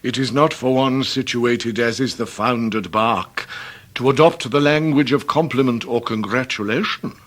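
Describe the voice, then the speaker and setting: An actor playing a pompous, theatrically downcast Victorian English debtor gentleman, grandiloquent and long-winded in a period radio drama. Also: mid-range voice, normal speed, lightly acted. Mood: mournful, grandiose. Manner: grandiloquent, orotund, ornate long-winded phrasing.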